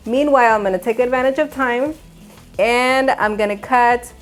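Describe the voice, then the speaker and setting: A woman hosting a home cooking show, giving upbeat step-by-step instructions and laughing often. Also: on the high side. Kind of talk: cooking demonstration. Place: home kitchen.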